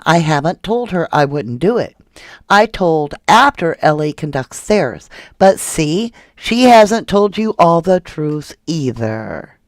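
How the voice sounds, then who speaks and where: A woman's voice: on the low side, no echo, clean studio sound. Indignant woman reading viewer comments. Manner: indignant, questioning, rhetorical. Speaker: true crime channel host.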